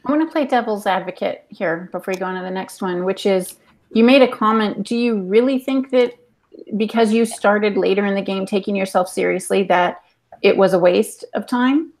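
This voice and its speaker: A woman's voice: mid-range voice, normal speed, a little mellow. A woman on a podcast panel, putting questions in a conversational style.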